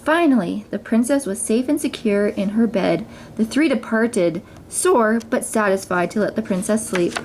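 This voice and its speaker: A woman reading a children's story aloud as narrator, in an expressive, dramatized way. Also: mid-range voice, normal speed, hardly any pauses, a little hiss or hum, rough recording. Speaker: mother reading stories for children.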